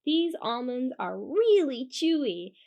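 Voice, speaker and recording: A woman voicing a homesick, picky fox in a children's storybook, expressive and plaintive. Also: high-pitched, slow pace, muffled recording, exaggerated voice. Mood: worried.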